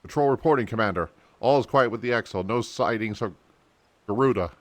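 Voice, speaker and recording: A man's voice: on the low side, fairly quick, dry recording, voice pushed up, lightly acted. A man voicing an eager, dutiful soldier in a video game, clipped and formal. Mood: brisk, dutiful.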